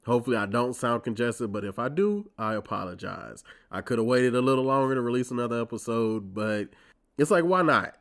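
A man's voice: mid-range voice, animated, fairly quick. A stuffy-nosed man in his twenties talks into a podcast mic, getting over a cold. He rants in a wry, casual, profane way as the host of his own show. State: congested from a cold. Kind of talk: casual solo monologue.

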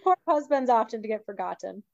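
A woman's voice: on the high side, expressive, brisk, creaky, no room sound. A woman hosting a parenting podcast, asking questions in a friendly way with small restarts. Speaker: podcast host. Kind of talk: interview host. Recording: dry, dull lo-fi sound.

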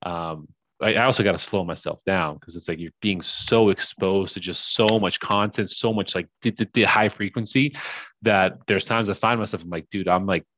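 Deep-voiced young man rambling casually. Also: normal speed, muffled recording, a little hiss or hum. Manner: rambling, filler-heavy, upbeat. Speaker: podcast guest, crypto investor. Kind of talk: casual podcast chat.

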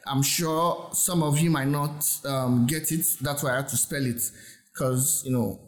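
A man's voice: medium pitch, steady tone, unhurried. A man with a Nigerian accent presenting an informal tutorial, explaining in a conversational, reassuring way and repeating points for emphasis. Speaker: host presenting software reviews. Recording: roomy, echoing sound.

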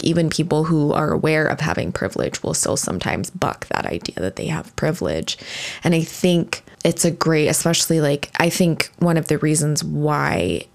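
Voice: medium pitch, creaky. A podcast host talking casually on their own, rambling with lots of fillers and restarts. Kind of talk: casual solo podcast monologue.

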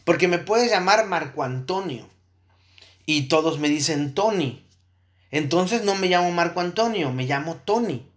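A man's voice: a little high, animated, unhurried. A man giving an explanatory lecture on Buddhist ideas, often checking in with his listeners and thinking aloud as he goes. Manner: checks in with listeners with frequent tag questions.

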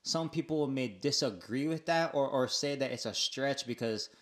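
A man's voice: mid-range voice, normal speed, a bit bright. A man with a Hawaiian Pidgin accent, co-hosting a podcast and steering the talk in an easygoing, playful way. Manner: easygoing, playful, leads the topic. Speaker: podcast co-host. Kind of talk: casual chat.

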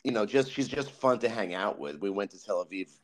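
A man's voice: fairly low pitch, lively intonation, background noise. A man hosting a casual interview, talking eagerly with many restarts and fillers. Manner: enthusiastic, halting with many restarts. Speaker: podcast host.

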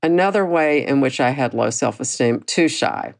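A woman in her sixties hosting a personal podcast, talking candidly and reflectively with a low voice that does not carry, often restarting her phrases. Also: animated, moderate pace. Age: 60s. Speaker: podcast host.

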